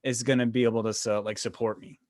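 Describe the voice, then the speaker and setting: A man with a mid-pitched voice interviews a guest casually. His questions are informal and loosely built, and the recording is dry with no room sound. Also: flat delivery, fast talker, dry recording. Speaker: podcast host, interviewer.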